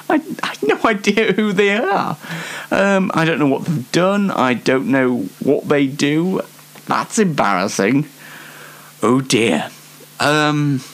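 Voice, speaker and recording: Animated, self-mocking young male show host. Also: on the high side. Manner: self-mocking, rambling, playfully theatrical. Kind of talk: chatty comedic monologue.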